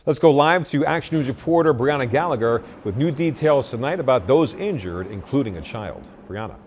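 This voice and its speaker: A man's voice: medium pitch, animated, fairly quick, slight background noise. A man with an American accent anchoring a television newscast in a scripted delivery. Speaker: news anchor.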